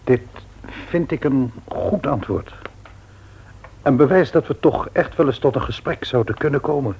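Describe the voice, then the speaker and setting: A man playing an earnest, patient, devout middle-aged father in a radio play, with measured delivery and a rough-edged husky voice. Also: mid-range voice, animated, darkish tone, slight background noise. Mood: earnest, conciliatory. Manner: measured, grave, deliberate. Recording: old radio play recording.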